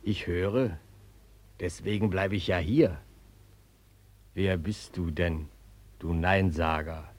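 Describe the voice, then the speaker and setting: Deep, slow, uncanny inner voice. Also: male voice, a little mellow. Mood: calm, probing. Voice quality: husky. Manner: deliberate, insistent, measured. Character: mysterious, persistent inner voice, calm uncanny other self. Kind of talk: German radio play acting.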